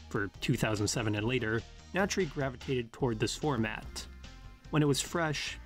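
Male narrator for analytical video essay, formal and measured, mid-pitched, faint music underneath.

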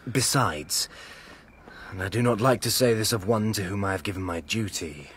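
An actor playing a shrewd, deferential nobleman advising his lord in a radio drama, polite and measured. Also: lowish voice, fairly bright tone, slight background noise, close to an everyday voice. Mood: cautious warning.

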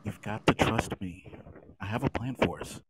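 A man playing a guarded, protective older brother in a science-fiction table read, with a husky voice. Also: lowish voice, normal speed, slight background noise, natural voice. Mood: calm, reassuring. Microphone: remote audio chat app.

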